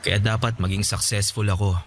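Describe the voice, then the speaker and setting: A man's voice: on the low side, fairly even intonation, brisk, a little hiss or hum, close to an everyday voice. An actor playing an earnest, sincere married father in a radio drama. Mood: earnest, reflective. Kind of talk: Tagalog radio drama acting.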